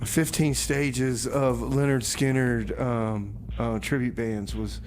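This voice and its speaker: A man hosting a music radio show, rambling casually in a folksy, halting way full of exclamations. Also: medium pitch, fairly even intonation, a little mellow, slight background noise. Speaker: radio host.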